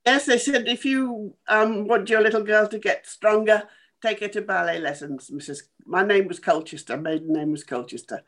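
A woman in her eighties from Kenya, a former dancer telling her life story in a halting, thoughtful way with small laughs. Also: mid-range voice. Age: 80s. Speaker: interview guest, former dancer. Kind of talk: casual remote interview.

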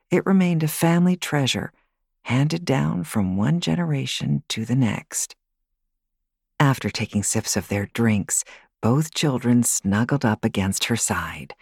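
A woman narrating an audiobook story in an expressive, measured storytelling style. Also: very deep voice, dry recording, clean studio sound. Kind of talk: scripted fiction narration, storytelling. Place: dry studio.

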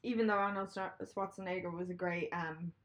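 A woman's voice: fairly low pitch, steady tone, fairly quick, fairly bright tone. A woman in a casual chat, blunt and laughing, swearing freely.

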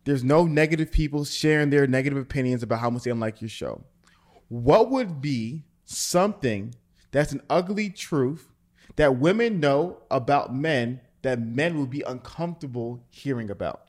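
A man's voice: medium pitch, expressive, normal speed, darkish tone. A man hosting a casual podcast, asking questions in an easygoing, rambling way and laughing often. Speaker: podcast host. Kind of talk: casual chat.

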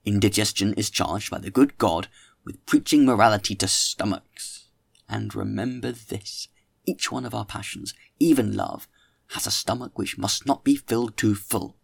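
A man's voice: lowish voice, moderate pace, gravelly, breathy edge, no echo. A man voicing a pompous, tipsy young orator who preaches grandly at a dinner in an audiobook. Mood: preachy exhorting.

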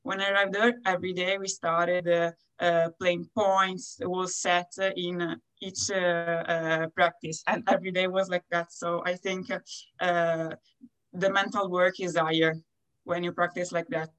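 A young woman from Italy with an Italian accent, a college athlete answering interview questions haltingly as she searches for words in her second language. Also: lowish voice, flat delivery, fairly bright tone. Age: young adult. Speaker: college tennis player being interviewed.

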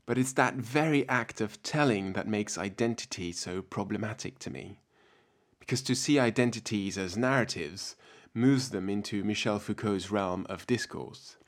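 A man from France, raised speaking French and German, with a French-German accent, delivering a measured, reflective scripted talk as a researcher. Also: fairly low pitch. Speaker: PhD researcher giving a talk. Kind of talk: scripted spoken essay.

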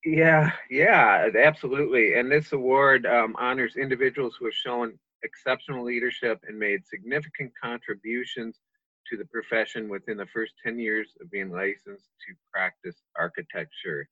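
A male reporter asks his questions haltingly, often repeating words. His voice is bright and forceful, recorded dry and close with a slightly dull, lo-fi tone. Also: medium pitch, no room sound. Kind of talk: interview questions.

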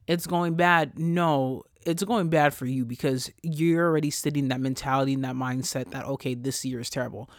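A man hosting a casual solo podcast, ranting in an animated, profane way that swings between loud bursts and quiet asides. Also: mid-range voice, normal speed, few pauses, dry recording, studio quality. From Haitian family. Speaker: podcast host.

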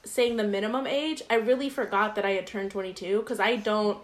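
A woman's voice: medium pitch, fairly even intonation, fairly quick. A woman in her twenties, co-hosting a casual chat and telling self-deprecating stories with frequent giggles. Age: 20s.

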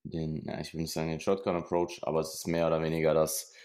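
A man's voice: very deep voice, completely flat delivery, quick pace, a little mellow. A man hosting a casual podcast over a call, explaining plans as a coach with many hesitant fillers. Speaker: podcast host and prep coach. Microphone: remote call setup.

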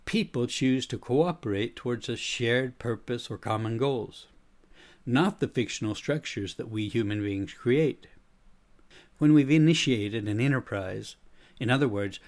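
A middle-aged man with an American accent slightly tinged with Swedish, delivering a prepared explanatory monologue in a measured, didactic manner. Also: on the low side. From United States. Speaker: podcast host, speaker and consultant.

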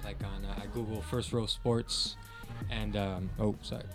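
A man's voice: on the low side, level tone, normal speed, music in the background. A man speaking on a casual podcast in a hesitant way, trailing off mid-thought. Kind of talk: casual chat.

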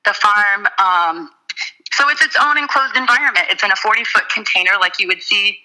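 A woman, the guest, explaining her urban farm in a casual interview, steady with frequent ums. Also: mid-range voice, brisk, poor audio.

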